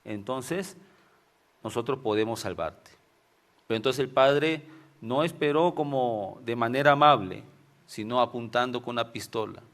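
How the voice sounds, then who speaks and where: A man with a Venezuelan Spanish accent preaches in a loose, conversational way, dropping in personal stories. His voice sits at a middle pitch with a gravelly edge. He often ends his phrases with tag questions to the congregation. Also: measured pace, fairly bright tone. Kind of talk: informal sermon with personal anecdotes.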